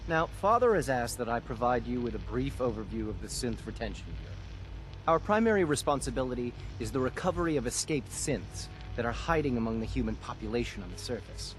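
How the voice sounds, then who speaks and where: A man's voice: medium pitch, very animated, a little fast, slight background noise, natural voice, poor audio. Game bureaucrat, male actor: suspicious bureau director, mid-range voice with emphatic swings, cold and officious, music underneath. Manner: clipped, officious, condescending. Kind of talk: video game dialogue acting.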